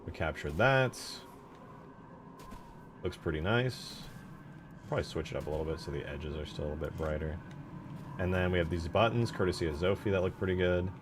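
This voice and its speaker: A man's voice: deep voice, moderate pace, long pauses, background noise. A man giving casual live stream commentary as he works on a game mod, thinking aloud in an informal, self-effacing way. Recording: music playing behind the voice. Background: music.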